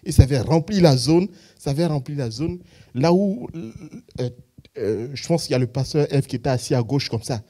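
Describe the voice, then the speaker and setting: A man's voice: fairly high pitch, expressive, moderate pace, mellow. A man giving a spontaneous testimony in a church service, hesitant and full of fillers. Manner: hesitant, many fillers and restarts. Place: church hall.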